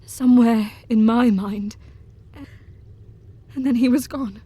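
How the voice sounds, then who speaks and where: A woman voicing a shaken young wife in a gothic audio drama, her voice sitting a little high. She talks haltingly, with a tremble under the words. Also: fairly even intonation, dark tone, slightly rough, no room sound.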